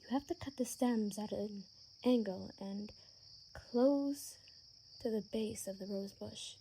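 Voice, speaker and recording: A woman acting a gentle, sheltered young vampire daughter in an ASMR roleplay, speaking in a soft, earnest, hesitant way. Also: mid-range voice, takes it slowly, rough recording. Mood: soft, friendly. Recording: faint background noise with chirping crickets.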